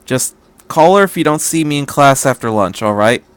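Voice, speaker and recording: A man's voice: medium pitch, lively intonation, normal speed, clean tone, natural voice. Man doing a casual, cocksure teenage schoolboy. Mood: casual, matter-of-fact. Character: eager, matter-of-fact teenage schoolboy friend, male.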